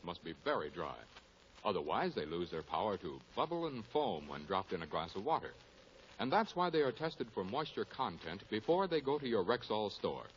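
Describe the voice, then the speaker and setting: Animated male vintage radio pitchman. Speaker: commercial spokesman. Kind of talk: scripted 1940s radio ad narration. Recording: muffled vintage radio, faint hiss.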